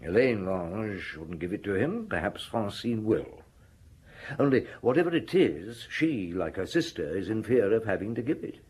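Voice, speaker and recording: Calm old-school detective chief in a radio play, low voice that moves around a lot, musing. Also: male voice, moderate pace, breathy edge. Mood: probing, assured. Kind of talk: audio drama acting.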